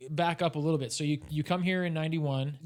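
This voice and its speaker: A man hosting an interview podcast, talking in a relaxed way with many fillers. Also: on the high side, quick pace. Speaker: podcast host.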